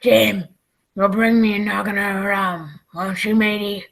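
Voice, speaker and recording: Gravelly drunken old sea captain. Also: female voice, medium pitch, steady tone, unhurried. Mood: weak, pleading. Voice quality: raspy, gravelly. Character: gruff drunken old sea captain, fierce then pleading. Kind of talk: radio play acting.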